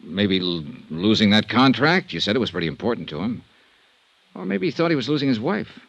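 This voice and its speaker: A man's voice: fairly low pitch, big pitch swings, brisk, gravelly, fairly bright tone, highs cut off, exaggerated voice. An actor playing a shrewd, insistent male investigator in vintage radio drama, with wry, probing questions. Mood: pressing.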